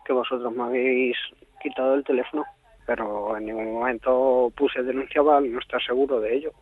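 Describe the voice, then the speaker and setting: A man's voice: medium pitch, steady tone, fairly quick, dry recording. Defiant Spanish man on muffled phone line. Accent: Spanish, from Spain. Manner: defiant, blunt, swearing. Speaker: prank call victim. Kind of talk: heated phone argument. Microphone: telephone. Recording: dull muffled telephone line.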